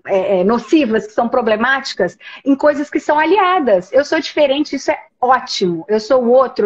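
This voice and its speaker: A Brazilian woman hosting a casual conversation, talking in an animated, emphatic way with many restarts over a video call. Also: high voice, dull audio. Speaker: host, professor.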